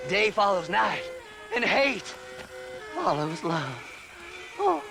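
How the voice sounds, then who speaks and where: An actor playing a crazed, gleefully unhinged grave-robbing treasure hunter in a western video game, with an American Southern accent, a cackling voice and a manic, taunting manner. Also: male voice, very animated, very slow, over music, heavily acted, low-quality recording. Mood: giddy. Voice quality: high, creaky, cackling voice. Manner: manic, taunting, swinging between mutters and shrieks.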